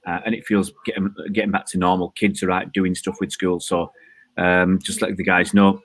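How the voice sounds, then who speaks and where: A man's voice: sits low, flat delivery, moderate pace. A man hosting a casual online chat, talking in a rambling, joking way with many fillers from an outdoor balcony. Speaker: host.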